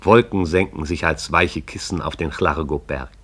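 A man narrates a poetic radio drama in a lyrical, measured way. His voice is very deep and somewhat husky. He lingers over the images and savours them. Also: a bit bright.

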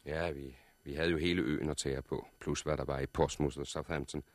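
Very deep-voiced courteous pilot. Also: male voice, fairly even intonation, dry recording. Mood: courteous, businesslike. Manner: courteous, matter-of-fact, explanatory. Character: confident helicopter pilot, messenger from an island colony of survivors. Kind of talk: 1960s radio drama acting.

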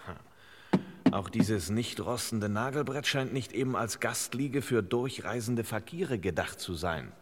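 An actor playing a wry, pompous master detective in an old radio crime comedy, in clipped telegram phrases with mock-gallant courtesy. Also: male voice, on the low side, lively intonation, measured pace. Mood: dry, pondering. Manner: clipped telegram phrasing, dropping pronouns, mock-solemn sayings. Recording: reverberant old radio play, faint hiss.

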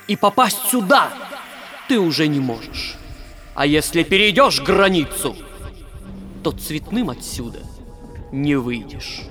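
Slow, gloating fairy-tale villain. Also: male voice, fairly high pitch, big pitch swings, music in the background. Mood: gloating, mocking. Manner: drawling, mock-sweet, theatrical. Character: gloating, mocking male villain of a dream land. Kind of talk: children's musical audio play acting.

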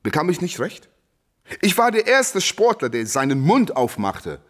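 A man's voice: a little high, sing-song, a little slow, a bit bright, heavily acted. A man in a German radio play acting a proud, defiant boxing champion who declaims and argues back with rhetorical questions. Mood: boastful, proud.

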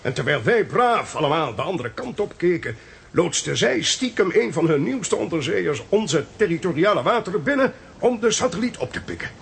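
An actor with a Netherlands Dutch accent playing an authoritative intelligence agent explaining things emphatically in a radio play. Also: male voice, sits high, very animated, moderate pace, gravelly, a little hiss or hum, exaggerated voice. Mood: emphatic explaining.

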